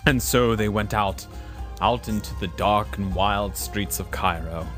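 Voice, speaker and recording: A man's voice: lowish voice, unhurried, fairly bright tone, faint music behind. Male storyteller narrating with musical backing. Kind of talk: storytelling narration for audio drama.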